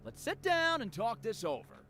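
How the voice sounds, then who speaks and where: A man playing a steady, authoritative policeman in a game, with a measured and serious manner. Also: very high-pitched, a little hiss or hum, full character voice. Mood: concerned. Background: game music.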